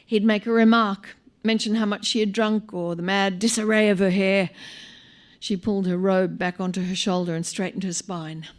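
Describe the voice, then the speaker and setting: An Australian woman reading a short story aloud live, in an expressive, wry manner with dramatic pauses. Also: medium pitch. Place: live on stage at a small venue.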